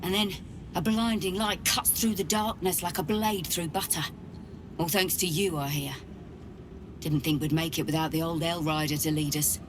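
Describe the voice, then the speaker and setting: An actress playing a weary, plain-spoken refugee leader in a video game, blunt and earthy. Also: female voice, fairly low pitch, fairly even intonation, normal speed, background noise, close to an everyday voice. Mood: earnest, relieved. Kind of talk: video game character dialogue.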